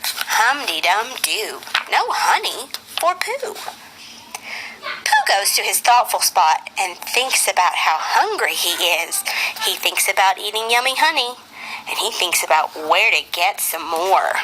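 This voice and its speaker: Animated woman reading a children's story. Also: medium pitch, unhurried, slight background noise, low-quality recording. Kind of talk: children's storybook narration for a puppet show.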